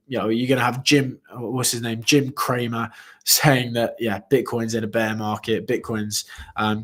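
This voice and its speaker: A man's voice: on the low side, a bit bright. A young man hosting a live trading stream, talking casually to viewers with many fillers and restarts. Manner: speaks to chat viewers directly. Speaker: crypto trading livestream host.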